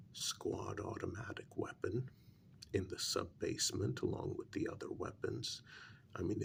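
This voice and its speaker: A man playing a calm, blunt human familiar to a vampire queen in a soft, half-whispered ASMR role-play, speaking formally and deliberately. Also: sits low, vocal fry, slight background noise, exaggerated voice.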